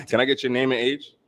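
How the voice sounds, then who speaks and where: A man hosting a dating show, moving contestants along briskly. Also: mid-range voice, a bit bright. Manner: brisk, pushes things along.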